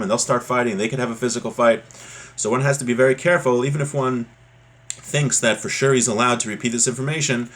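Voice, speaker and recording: A man's voice: lowish voice, a bit bright. A man giving an informal religious lesson as a teacher, explaining in a conversational way. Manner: conversational, explanatory, checks in with "right?". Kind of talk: informal religious lesson, reading a text aloud then explaining it.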